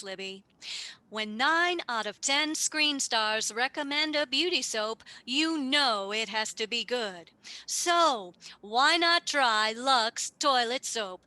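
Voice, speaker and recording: A woman's voice: high voice, lively intonation, slow pace, bright, forceful tone, no room sound, heavily acted. A woman playing a genial, salesmanlike radio announcer delivering 1940s-style advertising. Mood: genial, persuasive.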